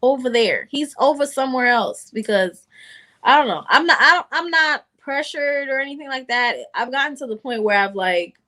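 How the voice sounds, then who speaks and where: A woman in her late twenties chatting casually in a group podcast, with an animated, joking, slangy way of talking. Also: high voice, normal speed. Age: late 20s. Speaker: podcast panelist.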